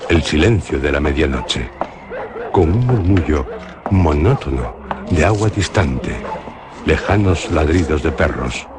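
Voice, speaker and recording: A man with a Spanish accent narrating a suspenseful radio drama in a slow, grave, measured way. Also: extremely low pitch, expressive, crowd noise. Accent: Spain.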